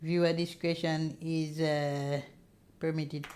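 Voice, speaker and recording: A woman's voice: low-pitched, little pitch movement, slow pace, darkish tone. A woman streaming a game, chatting casually and reading on-screen text aloud. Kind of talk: casual Let's Play chat and read-aloud narration.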